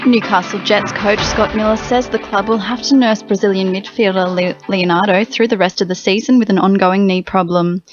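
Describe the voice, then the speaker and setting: A woman's voice: mid-range voice, fairly even intonation, moderate pace, hardly any pauses, over music. A woman with an Australian accent reading a scripted radio sports bulletin. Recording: slightly dull radio audio.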